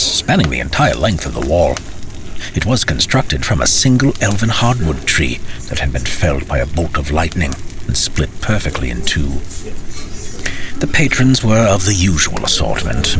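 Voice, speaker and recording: A man narrating a fantasy tale as a storyteller, with dramatic, theatrical and courtly phrasing. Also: a bass voice.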